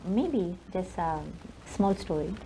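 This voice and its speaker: Female TV host, low and gravelly, hesitant interviewer. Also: expressive, slow pace, mellow, a little hiss or hum, low-quality recording. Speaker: talk show host.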